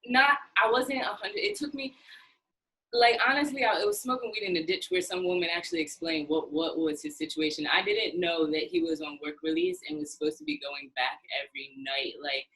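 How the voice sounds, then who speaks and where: A woman hosting a podcast, telling stories in casual chat, animated and full of "like". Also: lowish voice, fairly quick, slightly rough, low-quality recording.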